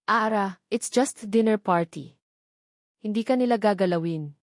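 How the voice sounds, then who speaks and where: A composed, reassuring wealthy man who keeps his voice steady and slips English phrases into his Tagalog, as a woman narrator reads his lines. The voice sits mid-pitch and sounds dry and close, with no room around it. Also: a little slow, no room sound, close to an everyday voice. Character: male billionaire, calm, reassuring, in control. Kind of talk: storybook dialogue read aloud.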